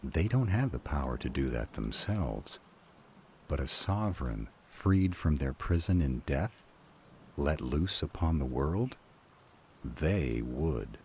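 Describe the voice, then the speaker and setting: An actor playing a grave, cryptic male counselor in a fantasy audio drama, speaking in a measured, formal and ominous manner. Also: rumbling low, lively intonation, a little mellow, slight background noise, full character voice.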